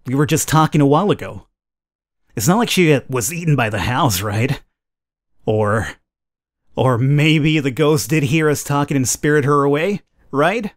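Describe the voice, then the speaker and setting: A man's voice: fairly high pitch, lively intonation, moderate pace. A man reading game narration aloud in a dramatic storytelling way, as a let's play gamer.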